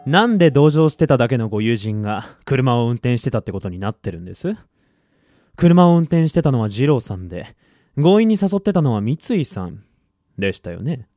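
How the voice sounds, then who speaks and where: A man's voice: medium pitch, sing-song, fairly quick, warm tone, no room sound, clean studio sound, close to an everyday voice. A man acting as a coolly polite, businesslike collection agent for an android company in a Japanese audio drama. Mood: reproachful.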